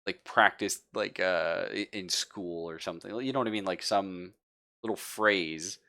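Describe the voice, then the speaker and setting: Deep, bright-voiced man running a tabletop game. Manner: halting, informal, thinks aloud. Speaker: game master. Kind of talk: casual improvised narration of a tabletop game.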